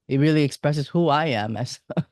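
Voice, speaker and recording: A man's voice: fairly high pitch, a little fast, a little mellow, no room sound, clean studio sound. A man hosting an interview, eager and admiring as he chats with his guest. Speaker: radio host and interviewer. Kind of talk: casual interview chat.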